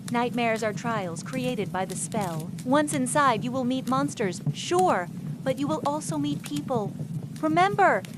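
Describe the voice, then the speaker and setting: A woman's voice: sits high, animated, unhurried, background noise. A woman voicing a stern, weary old male policeman who gives grave instructions in an audiobook. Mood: grave warning. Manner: grave, instructing, clipped warnings.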